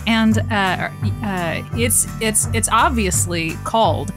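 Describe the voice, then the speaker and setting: A woman's voice: mid-range voice, takes it slowly, over music, lightly acted. An actress playing a blunt, guarded woman who hosts a radio advice show, in a dry audio drama delivery. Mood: breezy on-air. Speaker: radio show host character.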